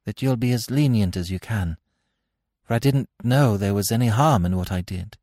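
A man's voice: on the low side, normal speed, darkish tone, no room sound, clean studio sound, pitched above the performer's own voice, close to an everyday voice. A man voicing an uneasy, respectful prison warder in an audiobook, hesitant but dogged. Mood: nervous resolve. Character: uneasy, deferential male prison warder, ex-military.

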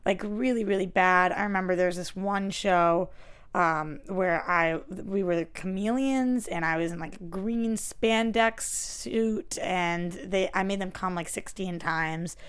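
A woman with a raspy voice, chatting casually as a podcast guest and poking fun at herself. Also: on the low side, a little slow. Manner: self-mocking, chatty. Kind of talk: casual chat.

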